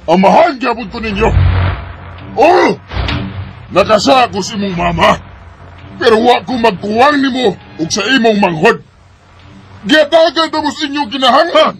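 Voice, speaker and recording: Guy doing a sorry cebuano dad begging his son to listen, kinda high voice. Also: animated, normal speed, long pauses, fairly bright tone, soft background music. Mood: pleading. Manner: pleading, defensive. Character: remorseful middle-aged father pleading with his son.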